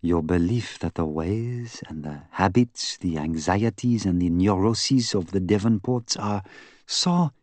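A middle-aged male Belgian detective for an audiobook. He has a French-speaking Belgian accent and speaks with fussy, formal precision. He is earnest and gravely insistent, in a dry recording. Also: fairly low pitch, darkish tone, dry recording. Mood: lecturing. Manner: precise, formal, emphatic phrasing. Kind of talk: audiobook character acting.

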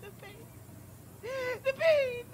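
A woman's voice: very high voice, takes it slowly, darkish tone. Shrieking, agonised distraught woman. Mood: agonised, frantic. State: crying in pain. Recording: distant, noisy room sound.

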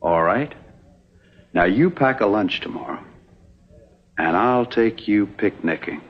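An actor in his thirties playing a charming, breezy young swindler in an old TV western, smooth-talking and nonchalant. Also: fairly low pitch, expressive, slow. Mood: teasing charm. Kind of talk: 1960s TV western acting. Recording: dull old TV soundtrack under music.

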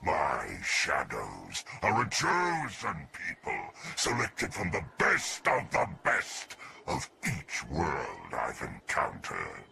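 An actor playing a pompous, decadent alien emperor in a video game, with a gravelly, booming, theatrical voice that slides down to whispers as he savors his words. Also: wildly swooping pitch, slow, hardly any pauses, sharp tone, full character voice. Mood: gloating. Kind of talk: video game cutscene dialogue.

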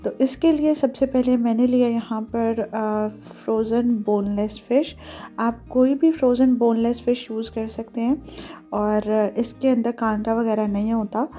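A woman narrates a recipe in a conversational, instructional way. Her voice sits a little high yet has a dark, relaxed tone. She slips English words and phrases into her explanations, with some music behind her. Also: a little slow. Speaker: home cooking show host.

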